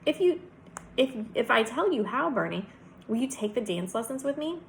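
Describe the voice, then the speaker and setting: A woman's voice: on the high side, very animated, normal speed, gravelly, a little hiss or hum. A woman voicing a pushy, lovestruck schoolgirl with a syrupy, emphatic manner for a children's storybook. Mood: eager.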